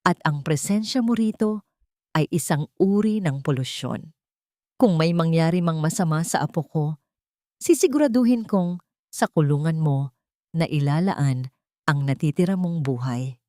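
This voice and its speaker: A woman's voice: expressive, normal speed, pauses often, warm tone, no echo, studio quality. A woman voicing a venomous, haughty old rich matriarch who threatens with cruel contempt in an audiobook drama. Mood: bitter contempt. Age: older. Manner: low, hissing, each word pressed out.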